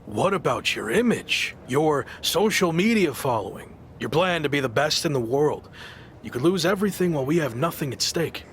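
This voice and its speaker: A man's voice: a little high, expressive, normal speed, a little hiss or hum, natural voice. A man playing an earnest underdog pro wrestler, a former big-league talent, in a scripted video game cutscene. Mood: doubtful.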